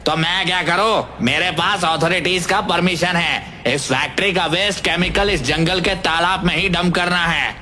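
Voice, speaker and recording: A man's voice: high voice, normal speed, hardly any pauses, sharp tone. A man playing an arrogant cartoon factory manager, curt, scolding and dismissive. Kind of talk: animated cartoon character acting. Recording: music bed under the voice.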